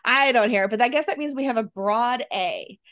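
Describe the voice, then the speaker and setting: Middle-aged Rochester-accented woman co-host, high bright voice, animated storyteller. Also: fairly quick. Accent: Rochester, New York. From Western New York. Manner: animated storyteller, laughs often. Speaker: podcast co-host. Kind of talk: casual chat.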